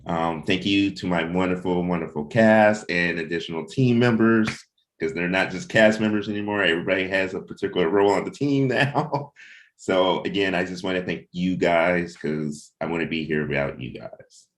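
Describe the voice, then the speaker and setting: A man's voice: low-pitched, normal speed. A man hosting a casual livestreamed team chat, speaking informally and a little hesitantly as he thinks aloud. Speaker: host and comic creator leading a cast meeting.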